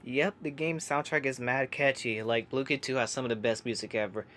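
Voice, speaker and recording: Young male creator, casual comment-reading vlog, hushed delivery, chatty and halting. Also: mid-range voice, fairly even intonation, slight background noise. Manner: chatty, hesitant, many fillers and restarts; kept quiet so family can sleep. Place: home laundry room.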